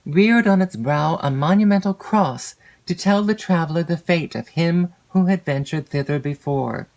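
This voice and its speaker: A woman reading a classic literary novel as an audiobook narrator, measured and expressive, lingering over descriptive phrases. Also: on the low side, low-quality recording.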